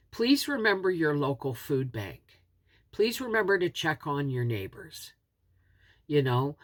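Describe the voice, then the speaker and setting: A middle-aged woman with a head cold and a scratchy throat, a homesteading host chatting earnestly to camera. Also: deep voice. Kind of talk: casual talk to camera.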